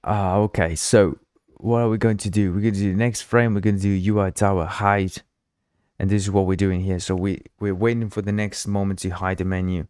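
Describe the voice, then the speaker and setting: Low-voiced Uruguayan man hosting a live stream. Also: little pitch movement, brisk, a little mellow, smooth, dry recording, studio quality. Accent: Uruguayan Spanish. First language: Spanish. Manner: rambling, repeats himself, reads chat aloud; drinking while streaming. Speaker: livestream host. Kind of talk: casual live coding stream chat.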